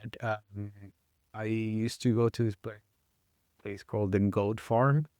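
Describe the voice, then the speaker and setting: A man in his thirties with a Chilean accent and a smooth, low-pitched voice. He talks in a casual interview, halting, with many fillers and restarts. The sound is dry and close to the mic. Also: steady tone, darkish tone, dry recording. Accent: Chilean Spanish. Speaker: guest, mountain bike guide.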